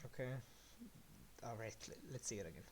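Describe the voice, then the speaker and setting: Animated man coaching a video game. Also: fairly low pitch, fairly quick, slight background noise. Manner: animated, breaks into loud excited yelps. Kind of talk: casual coaching chat over gameplay review.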